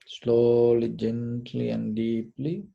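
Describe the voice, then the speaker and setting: A man leading a guided meditation, giving short, deliberate instructions in a gentle, soothing manner. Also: mid-range voice, level tone, gravelly, dull audio. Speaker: meditation teacher.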